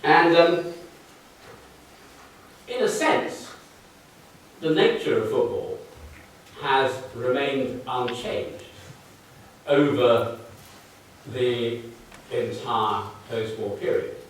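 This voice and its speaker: A man's voice: medium pitch, very slow, long pauses, a little hiss or hum. An older man with a Mancunian accent giving a public lecture, explaining charts with dry, wry asides. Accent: northern English, Mancunian. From Manchester, England. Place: large lecture hall. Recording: very echoey hall, voice from far off.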